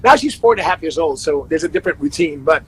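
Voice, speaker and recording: A man's voice: a little high, lively intonation, quick pace, fairly bright tone, slightly rough, low-quality recording. A man in his thirties, a life coach, chatting upbeat in an interview over a video call. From Panama and the Netherlands. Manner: upbeat, self-promoting, many restarts. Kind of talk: casual interview chat.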